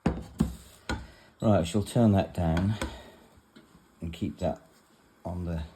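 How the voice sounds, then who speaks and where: Very deep-voiced man, unhurried and chatty. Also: warm tone, a little hiss or hum. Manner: rambling, matter-of-fact, chatting aside to his dog. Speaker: vlogger demonstrating a kitchen task. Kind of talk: casual home demonstration chat. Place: indoors, home kitchen.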